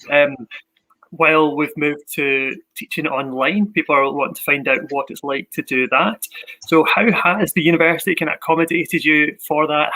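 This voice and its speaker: Bright-voiced man hosting. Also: a little high, rough recording. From Glasgow area, Scotland. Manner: upbeat, enthusiastic, many fillers. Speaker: host of a university Q&A. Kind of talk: live online Q&A hosting.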